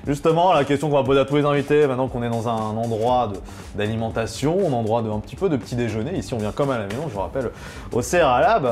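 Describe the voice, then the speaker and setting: A man's voice: medium pitch, rapid-fire, darkish tone, a little hiss or hum. A man with a Parisian French accent hosting a casual talk show in a bar, chatty and joking, rambling through his questions. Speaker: host.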